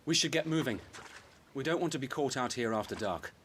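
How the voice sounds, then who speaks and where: An actor playing a combative, blunt soldier in a fantasy video game, exclaiming with a British accent. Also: male voice, mid-range voice, expressive, brisk, fairly bright tone, slight background noise, natural voice. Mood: uneasy. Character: gruff, combative soldier in a lord's party.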